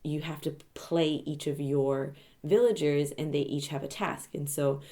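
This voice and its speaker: A woman with a low, dark, relaxed voice gives her thoughts as a podcast panelist. Her delivery is casual but measured, each phrase set out deliberately. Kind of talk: casual game discussion.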